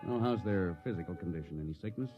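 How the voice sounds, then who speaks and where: An actor playing a seasoned, gruff but steady spaceship captain in a 1950s radio drama. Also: male voice, low voice, fast talker, dull audio. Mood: measured, concerned. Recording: 1950s radio recording, music behind.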